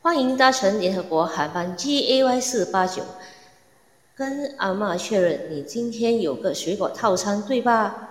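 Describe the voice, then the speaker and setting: A woman's voice: mid-range voice, lively intonation, unhurried, natural voice. A woman playing a polite, patient flight attendant in a comic radio drama, with echoey reverb.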